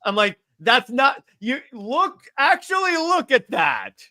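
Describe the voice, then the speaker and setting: A man with a high, forceful voice in a casual discussion show. He speaks as an opinionated co-host and leans hard on his points, often saying them twice. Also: wildly swooping pitch, slow. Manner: emphatic, opinionated, repeats himself. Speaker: podcast co-host. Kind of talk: casual pop culture discussion.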